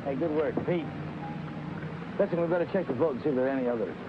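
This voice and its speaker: A man's voice: mid-range voice, fast talker, natural voice. A man playing a slick, bossy boat boss with an American accent in an old B-movie. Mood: brisk, bossy. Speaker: film actor. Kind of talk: 1960s B-movie dialogue. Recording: muffled, noisy old film soundtrack.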